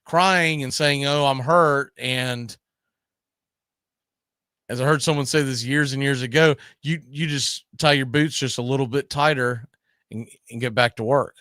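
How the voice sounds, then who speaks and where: A man's voice: medium pitch, steady tone, measured pace, sharp tone, no echo, clean studio sound. A man hosting a casual baseball talk show, conversational and often checking in with tag questions. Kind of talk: casual sports discussion.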